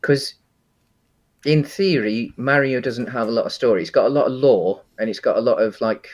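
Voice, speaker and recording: A man in a casual film discussion, speaking at a middling pitch. He phrases things haltingly and qualifies his opinions as he works through them, as a co-host talking things over. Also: muffled recording. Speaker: co-host of a video game film discussion show.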